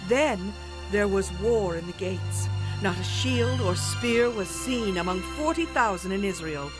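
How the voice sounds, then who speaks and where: Woman acting commanding female prophet, solemn, exultant, measured slow delivery, biblical audio drama, clear music behind. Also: mid-range voice, animated, natural voice. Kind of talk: biblical radio-theatre dramatization, declamatory verse.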